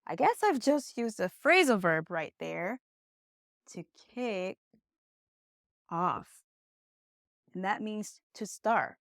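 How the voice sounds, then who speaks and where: A young woman, a Portuguese speaker, giving a conversational online English lesson with encouraging, expressive emphasis. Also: mid-range voice, slow, gravelly, pauses often, no room sound, studio quality. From Brazil. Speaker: English teacher.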